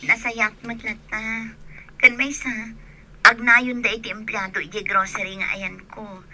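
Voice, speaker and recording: An actress playing a longing young grocery worker in love, plaintive, with an Ilocano accent, in a radio drama. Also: female voice, fairly high pitch, little pitch movement, unhurried, sharp tone, a little hiss or hum. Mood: affectionate. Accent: Northern Philippine Ilocano.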